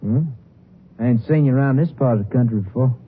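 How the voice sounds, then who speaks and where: An American actor in a 1950s radio drama, playing a rough-spoken, protesting, suspicious small-time crook. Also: male voice, medium pitch, quick pace, natural voice. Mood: suspicious, prying. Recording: noisy, tinny 1950s radio recording.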